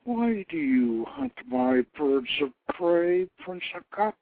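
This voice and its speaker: Man playing a menacing war goddess, storybook, slow commands, powerful, raised pitch, phone-grade sound. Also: big pitch swings, a little hiss or hum. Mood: stern, challenging. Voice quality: vibrant, powerful. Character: imperious, menacing war goddess, powerful woman demanding obedience. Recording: tinny phone-line internet radio.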